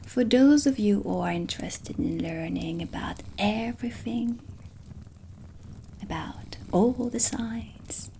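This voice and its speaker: A woman playing a stern, dry dark witch teacher in a soft-spoken ASMR roleplay, deliberate and often slipping toward a whisper. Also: mid-range voice, creaky, full character voice. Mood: stern, instructive. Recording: close voice under prominent ambient music. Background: ambient music.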